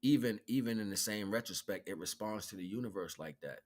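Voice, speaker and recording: Low-voiced man preaching off the cuff. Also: a little fast. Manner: emphatic, repeats phrases for emphasis; colloquial, checks in with rhetorical questions. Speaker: spiritual teacher, livestream host. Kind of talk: improvised spiritual lecture, streamed.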